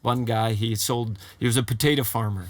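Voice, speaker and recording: A man's voice: mid-range voice, steady tone, brisk, dry recording. A man in his fifties from Sweden with a Swedish accent, a ranch owner answering interview questions in a casual, chatty way, often repeating himself. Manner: warm, repeats phrases, many fillers. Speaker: horse trainer and ranch owner.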